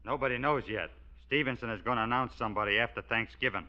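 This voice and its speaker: An actor playing a gossipy, cagey factory worker in a 1940s radio sitcom. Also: male voice, mid-range voice, fast talker, pushed and bright. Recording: muffled 1940s radio recording.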